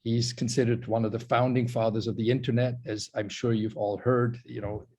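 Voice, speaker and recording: A man's voice: mid-range voice, little pitch movement, moderate pace, mellow, husky. A man from Switzerland hosting an online forum on a video call. He speaks earnestly, with many restarts and "you know"s. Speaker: forum host. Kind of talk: live online forum introduction.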